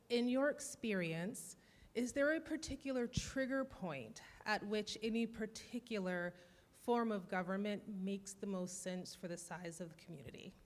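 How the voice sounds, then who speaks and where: A woman from South Carolina, a resident asking a measured, polite question at a town meeting. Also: medium pitch. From Blythewood, South Carolina. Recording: long reverb of a meeting hall.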